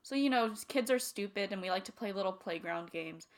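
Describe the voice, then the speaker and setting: A young woman talking casually in an interview, relaxed and chatty, with frequent "you know" fillers. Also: mid-range voice, a little fast. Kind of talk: casual reflective interview talk.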